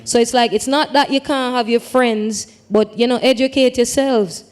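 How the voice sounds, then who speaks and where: A woman's voice: on the high side, fairly even intonation, moderate pace. A woman with a Jamaican accent, a music artist speaking on a conference panel, thoughtful and drifting into patois phrasing. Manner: reflective, slips into patois phrasing. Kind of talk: panel discussion.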